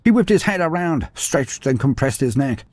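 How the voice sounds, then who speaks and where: A man narrating a scripted story in a dramatic, animated way. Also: medium pitch, normal speed, no echo.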